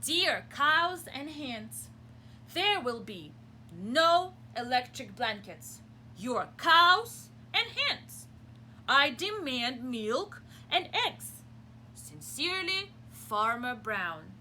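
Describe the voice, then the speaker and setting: A woman's voice: sits high, very animated, pushed and bright, a little hiss or hum, exaggerated voice. A woman voicing a grumpy male farmer from a picture book, exasperated and demanding, drawing out words emphatically. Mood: stern, demanding. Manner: emphatic, demanding, drawn-out delivery.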